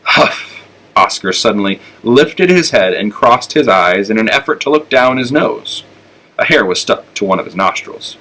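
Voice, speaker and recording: Male storybook reader, American accent, bright and clear-edged tone, telling a children's tale. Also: fairly low pitch, lively intonation, moderate pace, rough recording. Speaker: elementary school teacher reading aloud.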